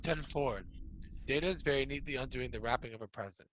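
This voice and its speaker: A man's voice: mid-range voice, quick pace, a bit bright, poor audio. A man reading out stage directions as narrator of a table read, with some background noise.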